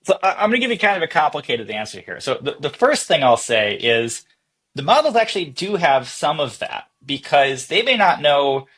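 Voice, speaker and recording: A man's voice: on the high side, big pitch swings, normal speed, fairly bright tone, husky. A man speaking as an interview guest, casually explaining technical work in a conversational podcast style. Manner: explanatory, casual, acting out thoughts as quotes. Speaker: interview guest, software company founder and chief executive.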